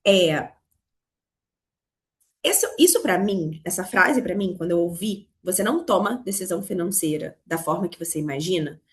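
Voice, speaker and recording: A Brazilian woman from Rio with a Carioca accent, hoarse from a cold, teaching a live online class on money, talking straight to her students. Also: medium pitch, a bit bright. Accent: Carioca Brazilian Portuguese. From Rio de Janeiro, Brazil. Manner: explanatory, addresses students directly. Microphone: video call.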